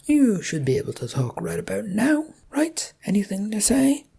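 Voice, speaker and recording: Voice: medium pitch, sing-song, moderate pace, gravelly, mellow, slightly rough, voice pushed up, heavily acted. A narrator voicing a sadistic male torturer in a story, chatty and mock-polite as he taunts his victim. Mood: taunting.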